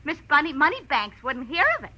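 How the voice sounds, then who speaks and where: Bright, brassy, tough-talking dame. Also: female voice, sits high, lively intonation, a little fast, highs cut off. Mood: cool, menacing. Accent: American. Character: brassy, hard-edged female nightclub performer, scheming and defiant. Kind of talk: 1940s radio noir acting.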